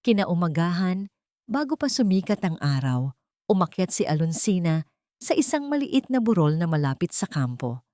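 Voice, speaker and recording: A woman's voice: lowish voice, sing-song, moderate pace, dark tone, no echo, clean studio sound. A woman reading a dramatic scripted story as narrator.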